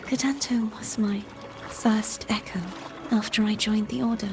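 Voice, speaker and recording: An actress playing an earnest, wistful young female knight in a video game, speaking softly and reflectively over music. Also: mid-range voice, steady tone, unhurried, close to an everyday voice. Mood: wistful, sorrowful. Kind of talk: video game character dialogue. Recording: music behind the voice.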